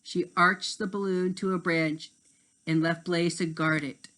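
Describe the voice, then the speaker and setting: A man's voice: high voice, level tone, measured pace, sharp tone. A man reading a children's story aloud as narrator, now and then stumbling over a word and rereading it. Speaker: storybook reader.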